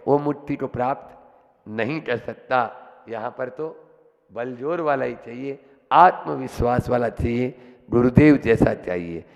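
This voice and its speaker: A man, a monk, giving a slow sermon that tells a remembered story, rising loudly to stress points. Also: a little high, vocal fry. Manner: slow storytelling, swelling loud for emphasis. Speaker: Jain monk giving a discourse. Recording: long hall reverb.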